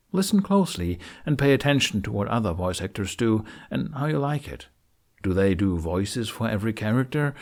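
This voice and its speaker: A man's voice: mid-range voice, vocal fry, a little mellow. A man narrating a scripted tutorial in an informal, reassuring way with wry asides, as host. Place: carpeted living room with curtains.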